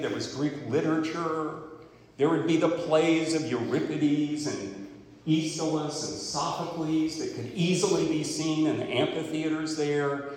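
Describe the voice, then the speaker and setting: A man's voice: a little high, a little mellow. A man preaching, reading scripture aloud and then explaining it in a conversational way. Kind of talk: expository Bible teaching. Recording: echoey hall with long reverb.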